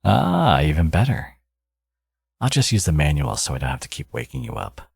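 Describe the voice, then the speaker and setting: A man acts out a smug supervillain who dotes on his baby, in an intimate ASMR roleplay. His voice is very low and gravelly, murmured slowly and close in a dry space. He is cocky and playfully teasing with his lover. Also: huge pitch swings, dry recording, heavily acted. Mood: teasing, reassuring. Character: smug, teasing male supervillain, doting new father.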